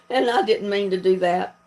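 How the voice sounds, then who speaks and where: A woman's voice: medium pitch, a little slow, darkish tone. A woman from North Carolina with a Southern accent, chatting casually and folksily as she hosts a livestream. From North Carolina, United States. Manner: folksy, chatty, laughs often. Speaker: video creator hosting a livestream.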